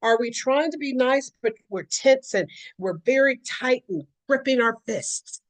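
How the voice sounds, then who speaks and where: A woman's voice: high voice, unhurried. Woman, educator, earnest explanatory talk, acts out examples.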